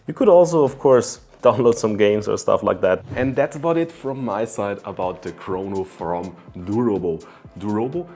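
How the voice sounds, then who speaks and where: A man's voice: lowish voice, a little mellow. A man giving a casual hands-on product review, talking conversationally and thinking aloud as he goes.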